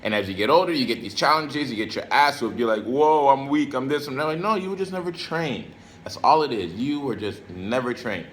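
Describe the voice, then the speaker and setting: A man's voice: mid-range voice, expressive, a little fast, a bit bright, a little hiss or hum, rough recording. An American man giving a casual motivational monologue to camera in streetwise slang, repeating phrases for emphasis. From Brooklyn and Florida, United States. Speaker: self-help video creator.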